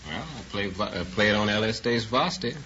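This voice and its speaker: A man's voice: on the low side, lively intonation, fairly quick, pushed and bright. A man in his forties with an American accent answering a quiz host plainly and a little hesitantly, as a contestant. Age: 40s. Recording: dull vintage radio recording, faint noise.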